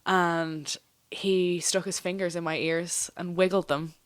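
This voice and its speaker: Woman interviewer, fairly low voice, easy chatty back-and-forth. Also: flat delivery, clear, resonant tone. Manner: chatty, quick to laugh, frequent short affirmations. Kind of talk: casual interview conversation.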